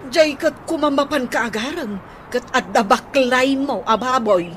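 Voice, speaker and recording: A practical big sister in an Ilocano comedy radio drama. She advises and nags in a fairly high, Ilocano-accented voice, with clear noise behind her. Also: measured pace. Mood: advising. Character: older sister, nagging but advising, practical.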